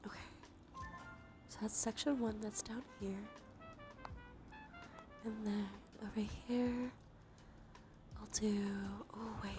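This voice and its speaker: A woman's voice: a little high, level tone, slow pace, pauses often. A woman livestreamer giving whispered ASMR commentary on a game, murmuring as she thinks aloud. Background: music.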